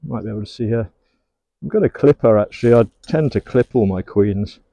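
A man's voice: fairly low pitch, fairly quick, vocal fry, mellow, muffled recording. A middle-aged man working at his beehives outdoors in a garden apiary, giving easygoing running commentary and talking fondly to the bees. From England. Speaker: beekeeper. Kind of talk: hands-on commentary while working.

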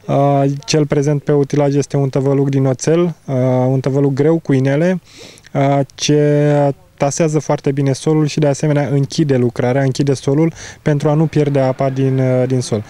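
Man, 30s, product specialist interviewed outdoors. Soft, dark tone, technical delivery with halting pauses. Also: a little high, flat delivery, no echo. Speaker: agricultural machinery product specialist. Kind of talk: explaining equipment in an interview. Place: outdoors in an open field. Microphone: handheld interview microphone.

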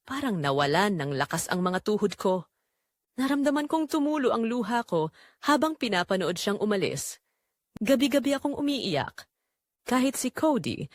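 Young Filipino female narrator for dramatic romance story; emotive, reflective, lively pitch movement, close dry recording. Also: no room sound. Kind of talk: dramatic scripted first-person romance narration.

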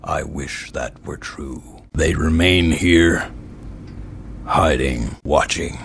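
An actor playing a noble giant robot leader in a video game, with a gravelly, husky voice and a solemn, authoritative, deliberate delivery. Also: male voice, extremely low pitch, slight background noise, exaggerated voice. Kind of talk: video game character acting.

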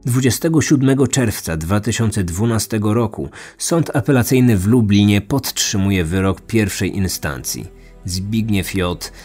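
A man's voice: on the low side, unhurried, a little mellow, husky, soft background music. A man hosting a true crime podcast, reading scripted documentary narration.

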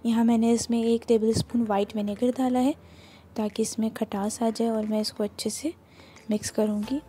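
A woman's voice: a little high, fairly even intonation, moderate pace, mellow, breathy edge, soft background music. A woman with a Pakistani Urdu accent, walking through a home recipe step by step as she cooks in her kitchen. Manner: plain, instructive, explains each step while working. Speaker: cooking channel host.

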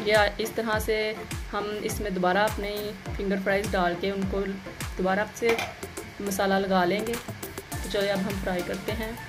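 A woman's voice: mid-range voice, flat delivery, unhurried. A woman gives a plain, instructional step-by-step recipe voice-over as a home cooking host. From Pakistan. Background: music behind the voice.

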